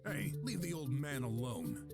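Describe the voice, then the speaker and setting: A man's voice: medium pitch, huge pitch swings, unhurried, gravelly, heavily acted. An actor playing a tough male detective hero in an adventure game. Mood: threatening. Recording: music behind the voice.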